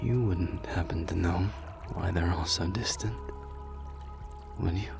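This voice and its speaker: A man performs a brooding wolfish warrior, once a general, in an ASMR fantasy roleplay. The voice is very deep and unhurried, pensive and often sinking into a breathy murmur. Music plays clearly beneath it. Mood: troubled, confiding. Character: brooding male wolf warrior, former general, troubled and loyal. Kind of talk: ASMR roleplay, scripted character monologue.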